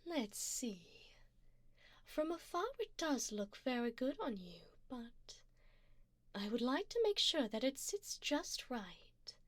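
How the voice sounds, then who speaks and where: A woman voicing a wealthy, possessive vampire sugar mommy in an ASMR roleplay, soft and breathy with whispers, refined, coaxing and gently commanding, close on a binaural mic. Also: a little high, very animated, takes it slowly, no room sound, exaggerated voice. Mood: doting, attentive. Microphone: binaural 3Dio microphone, close up.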